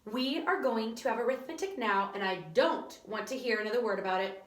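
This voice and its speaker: A woman's voice: a little high, brisk, lightly acted. A woman plays a strict schoolteacher who talks firm and dry, brushing off complaints. The voice stays close to the reader's own and echoes in the room. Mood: stern. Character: stern strict female teacher.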